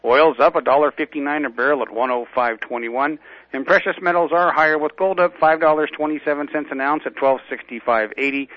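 A man, a financial advisor, reading a stock market report in a brisk, steady way. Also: on the high side, dull audio.

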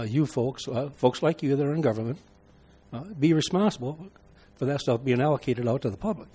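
A man giving public comment at a meeting, halting with many fillers and restarts. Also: mid-range voice, darkish tone, breathy edge. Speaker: member of the public.

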